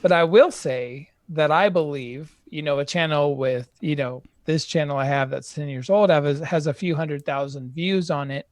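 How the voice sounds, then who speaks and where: A man's voice: a little high, normal speed, few pauses, no room sound. A man co-hosting a live-stream Q&A, speaking hesitantly with many fillers and the odd joke. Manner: hesitant, filler-heavy, joking. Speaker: co-host.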